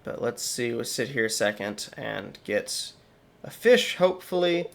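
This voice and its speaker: A man's voice: mid-range voice, animated, unhurried, vocal fry, a bit bright. A young man with a slightly sore voice, chatting casually through game commentary with wry asides. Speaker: gaming channel host.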